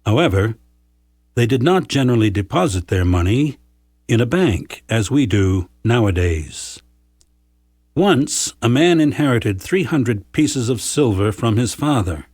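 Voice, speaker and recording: A man narrating folktales from a script for learners, with measured, careful enunciation. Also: mid-range voice, big pitch swings, darkish tone, slightly rough, no echo, studio quality.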